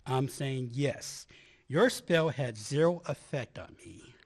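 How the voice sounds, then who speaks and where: A man's voice: medium pitch, expressive, slow, husky, full character voice. An actor playing an earnest man in love, a historical researcher, in a dramatic radio-play style. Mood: teasing. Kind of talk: stage radio play acting.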